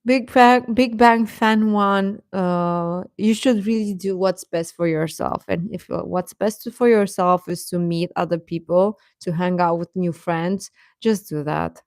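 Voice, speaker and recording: Low-voiced woman chatting casually, close and dry. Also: fairly even intonation, a little slow, hardly any pauses, a little mellow, no echo. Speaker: live stream host. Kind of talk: casual chat with live stream viewers.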